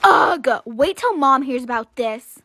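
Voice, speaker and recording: A woman's voice: sits high, lively intonation, unhurried, bright, forceful tone, no room sound, heavily acted. A woman voicing a spoiled, bossy teenage stepsister with a dismissive, whiny manner.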